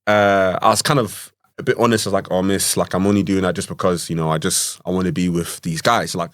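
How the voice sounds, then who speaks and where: A young man co-hosts a casual podcast. His voice sits low and he tells his story in a chatty, animated way, full of "like" and "you know what I mean". The sound is close and dry, with no room around it. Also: brisk, no room sound. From Ireland. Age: 20s. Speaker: podcast co-host.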